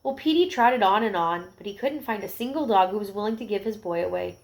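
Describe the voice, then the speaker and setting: A woman reading a picture book aloud to young children, expressive, with gentle explanatory asides. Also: medium pitch, brisk, poor audio.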